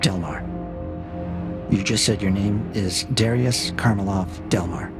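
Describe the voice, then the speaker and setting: A man voicing a cold AI interrogator in a science fiction audio drama, measured and formal, needling with calm, mocking questions. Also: fairly low pitch, gravelly, music in the background. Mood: clinical, needling.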